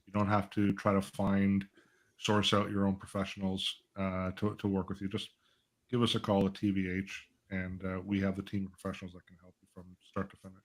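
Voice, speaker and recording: Male financial advisor, lower register, conversational and explanatory, panel discussion. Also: completely flat delivery, a little fast. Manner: explanatory, uses acted-out client dialogue and rhetorical check-ins. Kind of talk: conversational live panel talk.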